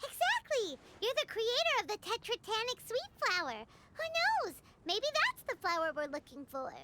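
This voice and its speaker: A woman voicing a tiny, chirpy, childlike fairy companion in an anime video game, squeaky, blunt and playful. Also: very animated, normal speed, very noisy. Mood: eager. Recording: loud background music.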